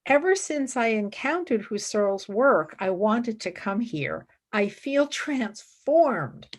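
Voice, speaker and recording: Actress as high-voiced, intense young student. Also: female voice, expressive, takes it slowly. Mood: fervent. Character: intense, idealistic young woman philosophy student, exacting, earnest. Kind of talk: radio play acting.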